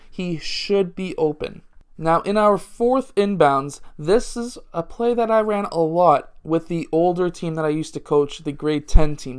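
A man's voice: high voice, smooth. A man, a basketball coach talking through plays step by step in a casual instructional way. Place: small indoor room at home.

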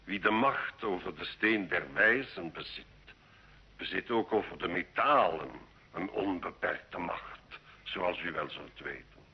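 A sly medieval alchemist speaks very slowly in a low, heavily husky voice. He is learned and deferential, with a sardonic undertone. It sounds like a dull old radio play recording. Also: exaggerated voice. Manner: slow, measured, deferential yet sardonic. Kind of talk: old Dutch radio drama acting.

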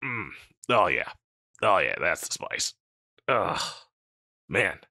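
A man playing a scruffy, insecure slacker catboy pet in a comedic audio roleplay, with a halting, mumbly dude drawl. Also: sits low, very animated, vocal fry, sharp tone, no room sound, studio quality, full character voice. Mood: laid-back, teasing.

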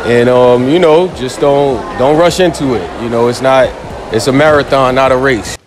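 A man's voice: mid-range voice, moderate pace, background noise. A young man answering interview questions in a mall, laid-back and full of "you know". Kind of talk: casual answers. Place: indoor shopping mall. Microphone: interviewer's handheld phone.

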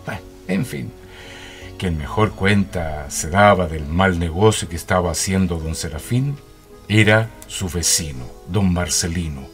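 An actor narrating a radio drama as a storyteller. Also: male voice, low-pitched, a little slow. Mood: wry, storytelling. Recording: music behind the voice.

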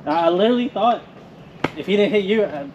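A man chatting outdoors by a lake, explaining in an animated way. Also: sits high, quick pace. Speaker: motovlogger. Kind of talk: casual chat on scene. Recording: muffled camera audio, loud background noise.

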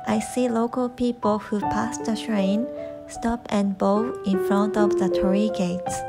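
A woman's voice: medium pitch, flat delivery, a little slow. A young woman from Japan with a Japanese accent, a native Japanese speaker, narrating a travel vlog from a script. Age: young adult. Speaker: vlog host. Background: background music.

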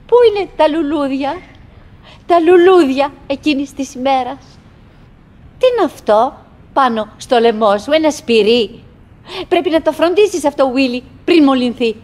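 An actress playing a wistful, chattering middle-aged wife in a theatrical radio monologue, in broken, repetitive phrases with dramatic pauses. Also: female voice, high voice, darkish tone. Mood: emotional, wistful, then scolding, fussy. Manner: fragmented, repetitive, dramatic pauses with sudden swells.

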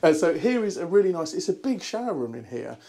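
A man, a bit hoarse from a cold, chatting through a walkthrough tour of a barge. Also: high voice, big pitch swings, fast, dark tone. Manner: chatty and upbeat, many ums. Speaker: boat broker. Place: inside a barge, small cabin rooms.

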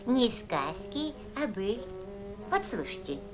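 A woman voicing a bossy, coaxing old field mouse matchmaker in a vintage animated fairy tale. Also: medium pitch, takes it slowly. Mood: sly, coaxing. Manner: coaxing, theatrical, pushy. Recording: vintage film soundtrack with music.

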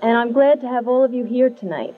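A woman's voice: on the high side, expressive, a little fast, crowd noise. Young female interviewer, earnest, reading from notes, lo-fi 70s video audio with hum. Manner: earnest, laughs often, reads questions from notes. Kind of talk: live studio interview. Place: small room with padded curtain backdrop. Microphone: handheld mic. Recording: tinny lo-fi late-70s video sound with mains hum.

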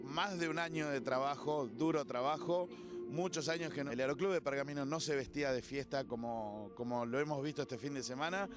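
A man's voice: mid-range voice, a little fast. An Argentine man presenting and interviewing enthusiastically as a television host. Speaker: TV host and reporter. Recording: loud music under the voice. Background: music.

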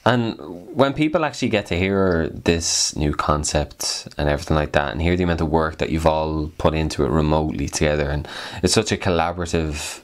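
Very deep-voiced man interviewing casually. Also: fairly quick, hardly any pauses. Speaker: podcast host, interviewer.

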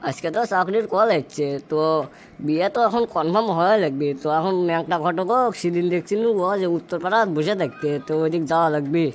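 A man's voice: a little high, quick pace, close to an everyday voice. A man as brash Bogra stoner bachelor, noisy recording. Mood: eager, musing. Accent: Bogra, northern Bangladesh. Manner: rambling, boastful, complaining. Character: brash, impatient stoner young man desperate to get married. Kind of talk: comic dialect cartoon voice acting.

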